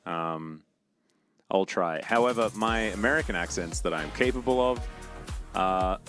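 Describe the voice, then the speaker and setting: A man's voice: low voice, unhurried, over music. A young Australian man casting esports, chatting and joking in an easygoing, self-deprecating way. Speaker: esports caster. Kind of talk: casual broadcast banter.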